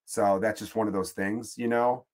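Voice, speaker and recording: A man's voice: lowish voice, fairly even intonation, moderate pace, a little mellow. A man with a Chicago accent, hosting a podcast and delivering a profane, exasperated, rambling comedic rant. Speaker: podcast host.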